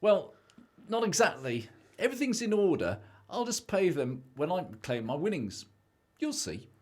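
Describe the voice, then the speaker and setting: A man's voice: sits high, sing-song, normal speed, husky, exaggerated voice. Guy acting selfish british husband in a radio play, dismissive, voice jumps about a lot. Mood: defensive. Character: selfish, excitable married debtor who believes he's rich.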